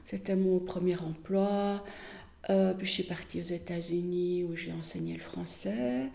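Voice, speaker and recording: A woman speaks slowly and thoughtfully, her voice low and mellow. She pauses often with little fillers as she recounts her life. The sound carries a noticeable room echo. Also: steady tone, gravelly. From Belgium, Wallonia. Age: 60s. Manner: measured, hesitant with frequent fillers. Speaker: interviewee, artist. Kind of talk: reflective personal testimony.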